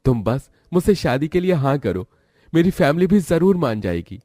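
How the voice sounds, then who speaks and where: A man's voice: a little high, very animated, moderate pace, mellow, no room sound, studio quality, pitched above the performer's own voice. A man voicing an earnest, devoted young suitor from a wealthy family in a cartoon story. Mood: earnest, reassuring. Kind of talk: Hindi cartoon story voice acting.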